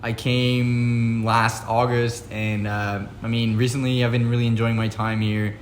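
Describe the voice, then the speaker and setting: A man's voice: medium pitch, little pitch movement, a little slow. A young Belgian man in his twenties with a Dutch accent, a guest chatting casually in an interview and often hesitating and repeating words. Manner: hesitant, repeats words and uses many fillers. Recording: echoey room with faint background noise.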